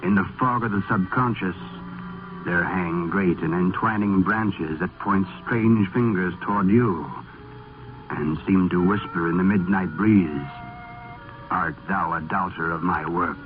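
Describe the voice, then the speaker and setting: A tinny old radio recording with music behind carries a grave male storyteller. His deep voice is solemn and ominous, in 1950s radio horror style. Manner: solemn, portentous, slow dramatic delivery. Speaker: narrator.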